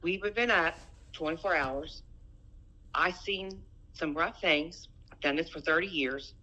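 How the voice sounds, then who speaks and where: A woman's voice: low-pitched, a little slow, pushed and bright, lo-fi sound. A middle-aged woman in a personal phone argument, speaking insistently and repeating her phrases. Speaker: private caller in a family dispute.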